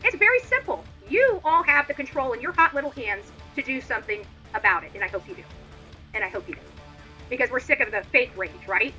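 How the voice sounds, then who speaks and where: A woman hosting a livestream with an extremely high-pitched and very sharp, forceful voice. She rants indignantly and combatively, hammering her points with repetition and blunt phrasing. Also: lively intonation, brisk, faint music behind, rough recording. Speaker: livestream host. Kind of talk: opinionated livestream rant.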